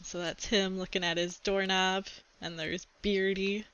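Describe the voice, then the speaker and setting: Female teacher type reading to kids, crisp bright tone, informal explanatory delivery, slightly muffled dry home recording. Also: medium pitch, level tone, a little slow. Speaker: teacher reading a book aloud to a class. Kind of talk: children's read-aloud with casual asides.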